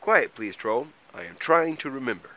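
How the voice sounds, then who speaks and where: A man's voice: mid-range voice, expressive, moderate pace, sharp tone, muffled recording, voice pushed up. A man voicing a practical, easily exasperated but kind-hearted mole in a children's story, speaking earnestly and emphatically.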